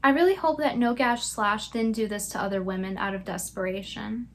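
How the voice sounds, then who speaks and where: A woman's voice: medium pitch, a little fast, a little hiss or hum. A woman delivering scripted commentary as a YouTube commentator.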